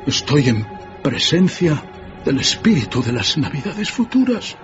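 An actor playing a frightened, repentant old miser in a radio drama, earnest and hesitant. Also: male voice, a little high, very animated, unhurried. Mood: fearful, earnest. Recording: dull radio recording, music behind.

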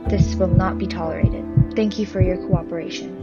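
A woman playing a sober, measured authority figure in an amateur radio play. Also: on the low side, fairly even intonation, lightly acted. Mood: grave, formal. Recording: muffled lo-fi, loud music behind.

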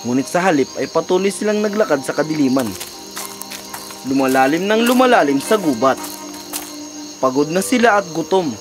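A storyteller narrates a scripted fairy tale with animated, moving intonation. The voice sits in a middle register, with music clearly playing behind it. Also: medium pitch.

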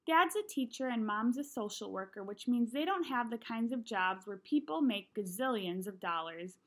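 A woman's voice: fairly high pitch, moderate pace. A woman in her thirties reading a children's novel aloud expressively, at home into a computer's microphone. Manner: expressive reading, animated in dialogue. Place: small room at home, indoors.

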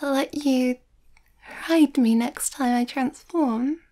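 A woman acts out a shy, overthinking young werewolf girlfriend in an ASMR roleplay. Her voice is high, mellow and breathy, often close to a whisper. She speaks slowly and hesitantly, sweet and bashful. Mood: bashful, tender.

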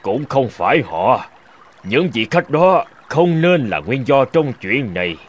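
An actor playing a calm old hermit in a cartoon folk tale, speaking in a measured, lecturing way. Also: male voice, medium pitch. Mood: calm, firm. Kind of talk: animated folk tale voice acting.

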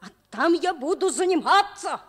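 An impulsive little schoolboy in a Soviet radio play. The voice is extremely high and childlike, full of emotional pleading. It rings with long reverb, as in an old studio recording. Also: female voice, animated, normal speed, a bit bright. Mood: earnest. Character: schoolboy Dimka, impulsive fourth-grade would-be sailor.

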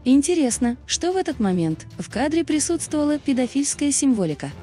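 Female narrator, scripted explainer read; soft-edged tone, very varied intonation, music bed. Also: high voice, sing-song, brisk. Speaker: video narrator. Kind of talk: scripted documentary-style narration. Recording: music behind the voice. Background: background music.

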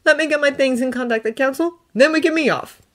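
A woman's voice: high-pitched, fast talker, a bit bright, voice pushed up. A woman voicing an undercover male Jedi, earnest and weary, in a fan fiction audiobook.

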